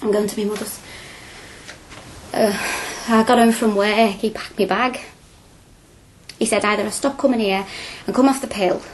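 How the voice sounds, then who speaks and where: A woman's voice: mid-range voice, fairly even intonation, normal speed, long pauses, a little hiss or hum, lightly acted, low-quality recording. An actress playing a spirited working-class woman of twenty-six in a radio drama, speaking colloquially and insistently. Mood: upset, subdued. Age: young adult.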